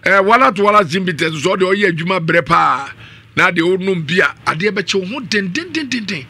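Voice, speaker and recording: A man's voice: high-pitched, animated, brisk, bright, forceful tone, husky, dry recording. A middle-aged Ghanaian man hosting a live radio show, speaking close to a studio microphone. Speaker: radio host. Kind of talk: live radio talk show hosting with ad-libbed sponsor reads. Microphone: studio condenser mic on boom arm, up close.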